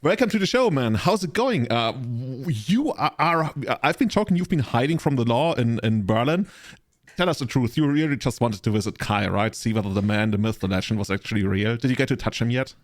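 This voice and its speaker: A man's voice: medium pitch, lively intonation, a little fast. A man hosting a podcast, chatting casually in a talkative, bantering way. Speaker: podcast host. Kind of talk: casual chat.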